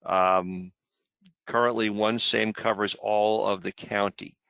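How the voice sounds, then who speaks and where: A man's voice: on the low side, fairly even intonation, slow pace, gravelly, fairly bright tone, clear, resonant tone, no room sound. A man hosting a webinar, casually moderating and reading out questions from the audience.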